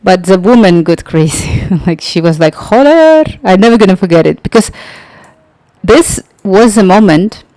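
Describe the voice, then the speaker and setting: A young woman hosting a livestream, chatting casually and telling a story with lots of filler words and halting phrasing. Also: on the low side, dark tone, no room sound, poor audio. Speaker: livestream tutorial host.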